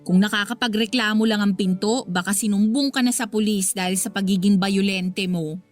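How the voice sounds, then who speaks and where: A woman's voice: medium pitch, steady tone, normal speed, soft background music, lightly acted. A woman acting as an indignant, sarcastic and demanding mistress in a Filipino radio drama.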